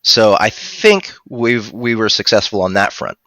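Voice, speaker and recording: A man's voice: on the low side, very animated, unhurried, a bit bright, dry recording. A man in his thirties chatting casually as he co-hosts a live stream, speaking into a headset mic. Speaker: audiobook narrator and producer co-hosting a live stream. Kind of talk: casual live-stream discussion, reading out viewer questions. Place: indoor room at home.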